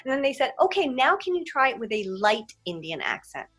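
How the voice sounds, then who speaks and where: A woman's voice: sits high, big pitch swings, fairly quick. A woman actor hosting a casual interview, chatty and telling stories from her own auditions. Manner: warm, chatty, storytelling. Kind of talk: casual conversation.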